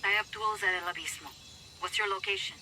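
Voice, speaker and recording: A woman's voice: medium pitch, steady tone, a little fast, bright, forceful tone, a little hiss or hum. An actress playing a resourceful female rebel ally in a video game cutscene, earnest and urgent, dropping in Spanish phrases. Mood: worried.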